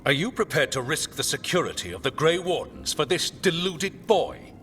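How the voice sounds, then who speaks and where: A man's voice: on the high side, animated, moderate pace, fairly bright tone, slight background noise. A man playing a stern, disdainful commander in a fantasy game, speaking with formal authority. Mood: indignant. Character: stern, imperious male military commander. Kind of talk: cinematic game dialogue. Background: music.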